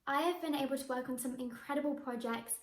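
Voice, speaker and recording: A woman's voice: high-pitched, quick pace. A teenage girl, an actress answering interview questions to camera in a bubbly, enthusiastic way. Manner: bubbly, enthusiastic, with frequent laughs. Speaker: young actress. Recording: echoey room.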